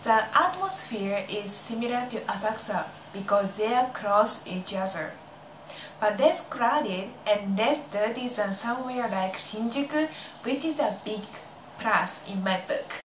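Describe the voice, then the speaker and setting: A young Japanese woman, a native Japanese speaker, giving travel tips to the camera in slightly halting English with a smiling, friendly manner. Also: medium pitch, lively intonation, echoey room, recorded from a distance, slight background noise, poor audio. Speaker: local guide presenter.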